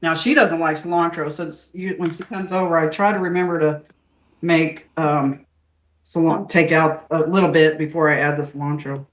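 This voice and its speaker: A woman's voice: deep voice, steady tone, normal speed. Chatty woman, echoey kitchen. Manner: chatty and earnest, drifting into preaching. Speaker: host. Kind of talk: casual chat while cooking. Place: home kitchen.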